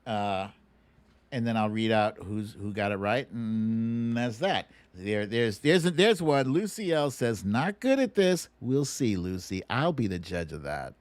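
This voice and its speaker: A man's voice: on the low side, animated, unhurried, no echo. A middle-aged American man hosting a casual livestream, informal and opinionated, often repeating words for emphasis. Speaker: livestream host.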